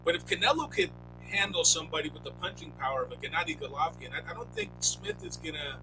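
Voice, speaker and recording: A man co-hosting a casual sports podcast, talking expressively with sharp jumps in pitch on key words. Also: huge pitch swings, fast talker. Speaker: podcast co-host. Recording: noisy line with hum.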